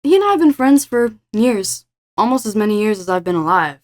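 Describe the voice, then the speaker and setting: An actress playing the devil's daughter, a self-possessed and predatory succubus, in an audio drama. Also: female voice, medium pitch, big pitch swings, brisk, close to an everyday voice. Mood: intrigued, knowing.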